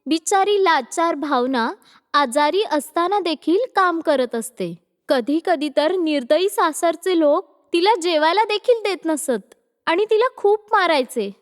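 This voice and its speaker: A woman storyteller delivers scripted narration. Her voice is high. A long, hall-like reverb follows her words. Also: animated, measured pace.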